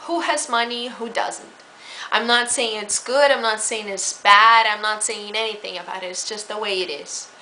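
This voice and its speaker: Bright-voiced woman, chatty. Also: mid-range voice, fairly even intonation, moderate pace, a little hiss or hum, rough recording. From Brazil. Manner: chatty, explanatory, with fillers and restarts. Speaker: speaker explaining her home country. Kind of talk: casual spoken talk.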